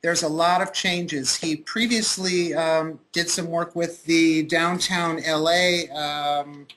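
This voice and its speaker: Man co-host, headset mic, bright tone, easygoing and measured. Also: on the high side, a little hiss or hum, low-quality recording. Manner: relaxed, measured, many uhs. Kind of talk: casual interview on a video call.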